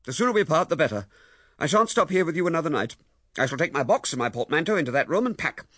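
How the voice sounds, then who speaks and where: A man voicing an indignant young husband who speaks in a forceful, accusing, raised voice. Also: medium pitch, sing-song, normal speed, vocal fry, husky, no room sound, studio quality, pitched above the performer's own voice, exaggerated voice. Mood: furious. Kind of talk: audiobook character voicing.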